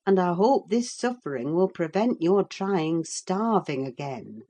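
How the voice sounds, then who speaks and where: A woman reading an audiobook, voicing a sensible older woman servant who speaks in a firm, calming way. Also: on the low side, unhurried. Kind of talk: audiobook character voice.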